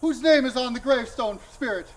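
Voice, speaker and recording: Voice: sits high, brisk. A performer playing an old miser who begs a spirit for mercy and then rejoices, in a theatrical stage style. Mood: pleading. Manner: theatrical, pleading then exclaiming. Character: old miser, repentant and overjoyed. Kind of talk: stage acting of a classic tale.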